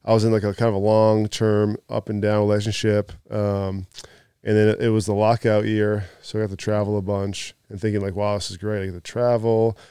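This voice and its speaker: Guy in his 30s, low smooth voice, dry close sound, tells stories w/ lots of laughs. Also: a little fast, dry recording. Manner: animated storyteller, laughs mid-story. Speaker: podcast guest, former athlete. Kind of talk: casual storytelling chat.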